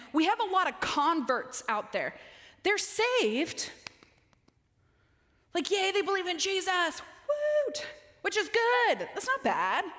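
A woman in her forties giving an animated, emphatic chapel talk on a stage, gesturing as she speaks into a headset mic. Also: high voice. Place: large stage in a dark auditorium. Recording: long reverb of a large hall.

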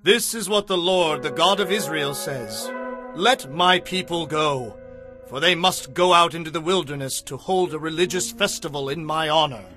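A man's voice: on the high side, normal speed, pushed and bright, soft background music, pitched above the performer's own voice. A man voicing earnest male messengers and prophets who press their demands. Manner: earnest, insistent.

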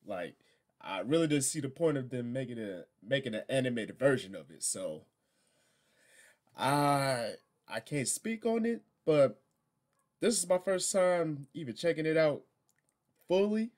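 A middle-aged American man hosting a reaction video in casual, halting, exasperated commentary. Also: medium pitch, sing-song, a little mellow.

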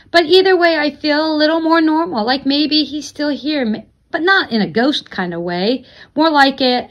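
A woman with a fairly high voice reads a children's novel aloud. Her delivery is expressive and deliberate, in the way a teacher reads a story to students. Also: highs cut off.

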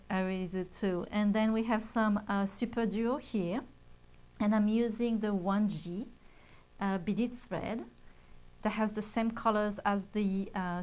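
A middle-aged woman with a voice of ordinary pitch, talking softly and patiently. She explains each beading step casually, with small pauses and fillers. Recorded cleanly on a lapel mic in a bright studio. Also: low-quality recording. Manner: soft-spoken, gently explains steps with fillers. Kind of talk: casual show-and-tell and beading tutorial. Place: bright studio set at a table.

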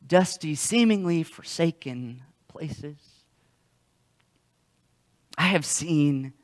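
A young man preaching a sermon as a pastor, telling stories in a halting way and often repeating words. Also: fairly high pitch. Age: young adult. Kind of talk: sermon with storytelling. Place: church sanctuary, indoors. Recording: long hall reverb.

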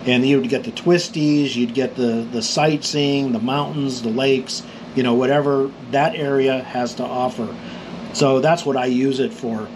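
A middle-aged man from New Mexico calmly explains road maps for motorcycle trip planning, rambling with fillers and restarts. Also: mid-range voice, a little slow, background noise. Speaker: motorcycle vlogger.

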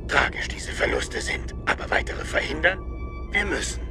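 An actor voicing a tiny, ancient, solemn alien master who speaks haltingly in inverted word order. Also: male voice, over music, lightly acted. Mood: grave.

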